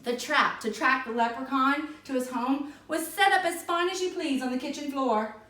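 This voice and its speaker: Lady reading a kids story out loud, high voice, expressive, roomy and a bit far off. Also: normal speed. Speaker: storybook reader for schoolchildren. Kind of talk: expressive children's read-aloud. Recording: echoey room, distant microphone.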